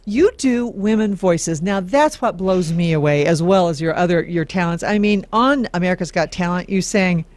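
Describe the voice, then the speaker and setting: A woman's voice: on the low side, normal speed, hardly any pauses. A woman hosting a talk show, interviewing her guest with enthusiastic praise. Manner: enthusiastic, effusive. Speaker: radio talk show host. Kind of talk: casual interview chat.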